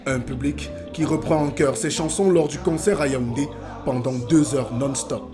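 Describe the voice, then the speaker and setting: Male narrator over clear music. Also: mid-range voice, fairly even intonation. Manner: measured, formal delivery. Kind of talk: scripted documentary narration.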